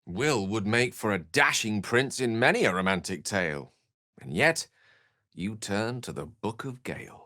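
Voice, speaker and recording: An actor playing an eloquent, courteous and wry wizard in a fantasy video game, with a British accent and articulate, theatrical phrasing. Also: male voice, lowish voice, expressive, a little slow, bright, forceful tone, no echo, studio quality. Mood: wry, genial. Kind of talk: dramatic fantasy game dialogue.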